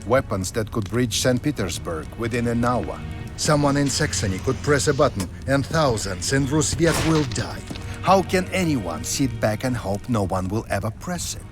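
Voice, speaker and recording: An actor as a ruthless spymaster with sweeping, theatrical intonation. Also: male voice, mid-range voice, expressive, music in the background, lightly acted. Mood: cynical, brooding. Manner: menacing, deliberate, theatrical. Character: ruthless scheming male military spymaster, cynical about war. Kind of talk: dramatic video game cutscene acting.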